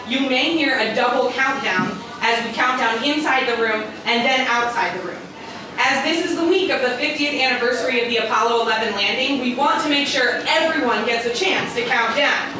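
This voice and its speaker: A woman hosting a live museum event, rallying the crowd with upbeat announcements. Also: high-pitched. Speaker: event host. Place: large echoey museum hall. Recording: echoey hall, distant mic, noisy crowd.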